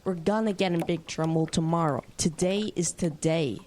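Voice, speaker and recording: A woman's voice: lowish voice, normal speed, dark tone, slight background noise, close to an everyday voice. A young female actor playing a stubborn, earnest kid adventurer in an audio drama.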